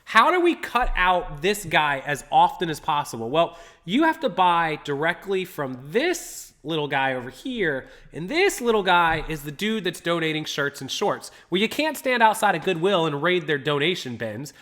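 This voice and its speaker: A man's voice: a little high, very animated, normal speed, few pauses, pushed and bright. A man hosting a live stream, chatty and upbeat, greeting viewers by name and sounding a little congested from a stuffy nose. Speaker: livestream host. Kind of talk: casual live-stream talk to chat.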